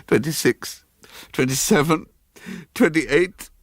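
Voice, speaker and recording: A man reading a ghost story, voicing a terrified woman trapped high up. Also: slow, no echo, clean studio sound. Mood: terrified counting.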